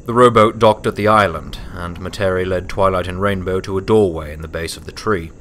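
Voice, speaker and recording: A man's voice: deep voice, brisk, a bit bright, a little hiss or hum. A man reading a scripted story aloud as narrator.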